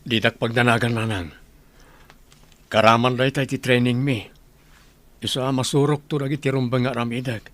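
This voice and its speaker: A man playing a humble, determined middle-aged village father in an Ilocano radio drama, speaking earnestly and gently. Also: mid-range voice, flat delivery, normal speed, pauses often, dry recording, lightly acted.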